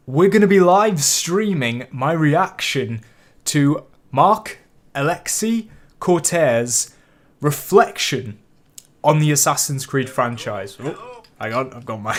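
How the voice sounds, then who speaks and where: A man hosting a casual live stream, chatty and rambling while getting over a cold with a cough and sniffle. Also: mid-range voice. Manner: chatty and rambling, with many fillers and restarts. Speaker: YouTube host streaming a live reaction.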